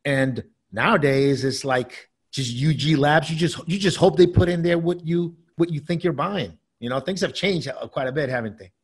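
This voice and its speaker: A man with a husky, slightly raspy voice co-hosts a podcast. He speaks in a conversational way and often repeats words as he goes. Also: on the high side, moderate pace, hardly any pauses. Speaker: podcast co-host. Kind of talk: casual podcast chat.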